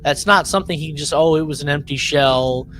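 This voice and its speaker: A man's voice: on the high side, fairly quick, fairly bright tone. Man chatting casually over background noise. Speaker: podcast co-host. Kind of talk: casual discussion recapping a drama episode.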